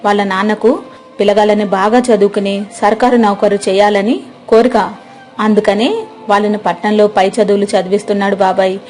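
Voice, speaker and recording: A woman narrating a moral story and voicing the village wife, in a gentle, plain, homely way. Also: mid-range voice, little pitch movement, a little fast, soft background music.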